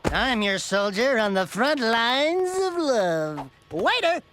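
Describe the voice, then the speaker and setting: A man's voice: very high voice, very animated, slow pace, music in the background, exaggerated voice. A man voicing a small, furry, wisecracking sidekick, a brash and cheeky flirt, in cartoonish video game acting. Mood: flirty, cocky.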